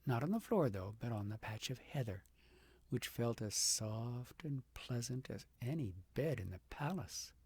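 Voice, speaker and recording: A man reading a fairy tale aloud as an expressive, dramatic audiobook narrator, with a gravelly, slightly husky voice. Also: mid-range voice, unhurried. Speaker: audiobook reader.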